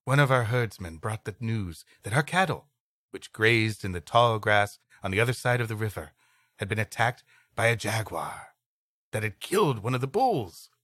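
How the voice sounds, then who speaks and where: A man's voice: mid-range voice, sharp tone, no echo, clean studio sound. A man co-hosting a comedy podcast, reading an old adventure story aloud expressively and dropping in playful asides. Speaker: podcast co-host.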